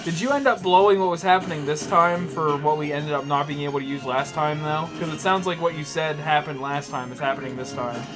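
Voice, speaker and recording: Higher-pitched casual man over music. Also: brisk, hardly any pauses. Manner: hesitant, informal, sweary. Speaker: podcast co-host. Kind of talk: casual joking commentary.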